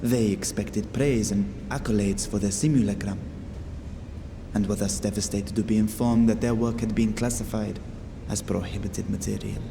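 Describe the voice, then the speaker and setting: A man playing a dignified, measured city ruler who speaks formally and deliberately in a video game cutscene. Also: lowish voice, steady tone, creaky, background noise. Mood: grave, measured.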